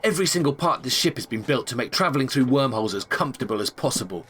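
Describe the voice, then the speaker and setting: A man's voice: medium pitch, expressive, very fast, fairly bright tone, a little hiss or hum, natural voice. A man playing an enthusiastic, chatty space agent in a comic sci-fi audio drama. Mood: friendly, upbeat. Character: eager, chummy male space agent, family man.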